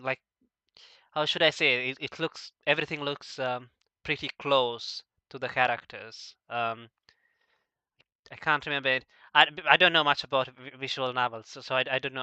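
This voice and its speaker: A man hosting a casual, unscripted discussion, asking questions in a halting way and often stumbling over his words. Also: mid-range voice, bright, forceful tone, clear, resonant tone, dry recording, highs cut off. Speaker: podcast host asking questions.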